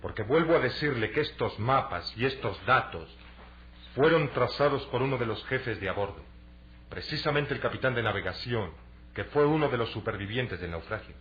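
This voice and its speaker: A man playing an earnest young adventurer in a Latin American Spanish radio drama, explaining things deliberately and persuasively. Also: medium pitch, lively intonation, natural voice. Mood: assured. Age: young adult. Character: earnest young athletic adventurer selling a treasure hunt. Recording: dull, lo-fi radio drama recording.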